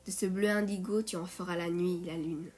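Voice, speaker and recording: Woman reading a poem line by line, measured delivery, some music under it. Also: on the low side, fairly even intonation, a little mellow. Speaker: poet reading own poem. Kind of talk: poetry recitation.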